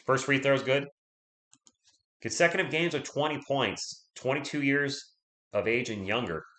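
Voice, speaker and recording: A man doing casual play-by-play for his team, hoarse as his voice gives out. He chats with viewers between calls. The room around him has a noticeable echo. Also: medium pitch, moderate pace, sharp tone. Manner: chatty, reads viewer comments aloud, rooting for the Spurs. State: losing his voice. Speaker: live stream play-by-play host. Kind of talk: casual fan play-by-play commentary.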